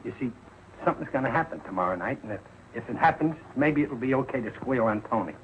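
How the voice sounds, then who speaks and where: A man's voice: mid-range voice, lively intonation, fast, close to an everyday voice. A man playing a bumbling, evasive working-class husband and father in a 1940s television comedy, blustering and sheepish, with sloppy grammar. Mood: defensive. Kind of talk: 1940s television sitcom acting. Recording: muffled, noisy old 1940s TV soundtrack.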